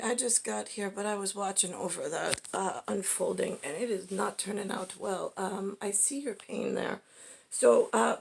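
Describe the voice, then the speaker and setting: Gravelly, creaky-voiced woman rambling. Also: medium pitch. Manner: halting, digressive, with frequent self-questioning tags like "right?"; acts out imagined two-way conversations. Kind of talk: rambling stream-of-consciousness monologue.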